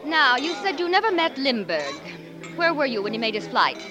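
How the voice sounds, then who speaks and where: An actress in a 1940s radio drama playing a sharp-tongued, sarcastic reporter, dry, tart and quick-witted. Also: female voice, sits high, sing-song, over a noisy crowd. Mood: brisk, bossy. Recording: 1940s radio broadcast, music behind.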